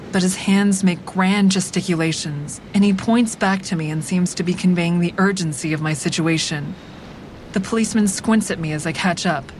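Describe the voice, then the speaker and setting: An actress playing a wry, hard-edged woman who narrates her own story in a frank, deliberate dramatic style. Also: close to an everyday voice. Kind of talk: scripted first-person dramatic narration. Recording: clear rumbling traffic noise behind.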